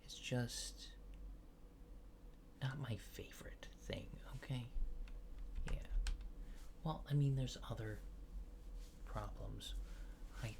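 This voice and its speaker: A man doing a softly spoken, often whispered ASMR roleplay as a mild-mannered alien space agent, murmuring hesitantly and casually. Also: mid-range voice, takes it slowly, creaky, long pauses, background noise. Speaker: ASMR creator playing an alien abductor.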